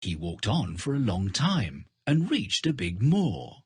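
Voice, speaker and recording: A man's voice: lowish voice, huge pitch swings, unhurried, over music. A man reading scripted children's fairy tale narration. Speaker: storybook narrator.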